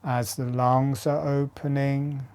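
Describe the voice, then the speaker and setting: A man giving guided breathing meditation instruction in measured, short phrases separated by long pauses. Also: mid-range voice, level tone, a little mellow, smooth.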